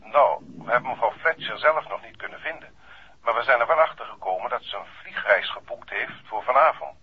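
Very husky police inspector on tinny telephone. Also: male voice, mid-range voice, animated, fairly quick. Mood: businesslike. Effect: telephone filter. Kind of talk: 1960s Dutch radio drama acting. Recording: tinny telephone line in old radio recording.

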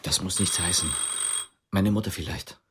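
A male actor as a reserved man of few words in a radio play, with a low voice. He answers tersely and hesitantly, with noticeable noise and clicks behind him. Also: fairly even intonation, moderate pace, close to an everyday voice. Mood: uneasy, subdued. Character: reserved, laconic East German man hiding a fugitive woman. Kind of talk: naturalistic radio drama dialogue.

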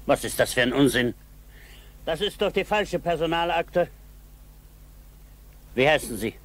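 An actor playing a brusque, blustering military doctor in a 1960s German radio play. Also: male voice, a little high, animated, measured pace, a bit bright. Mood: incredulous. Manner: curt, questioning, blustering. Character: brusque military doctor, incredulous but hearty.

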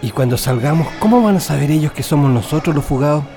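High-voiced tunnel digger, long reverb. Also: male voice, lively intonation, quick pace, mellow, soft background music. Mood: urgent. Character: eager, wry male prisoner digging an escape tunnel. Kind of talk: radio drama acting.

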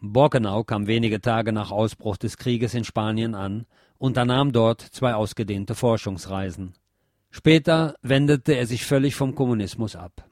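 Man, scripted narration, lowish pitch, very dry recording. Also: a little slow, a little mellow, no room sound. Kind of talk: scripted narration, author biography and book credits.

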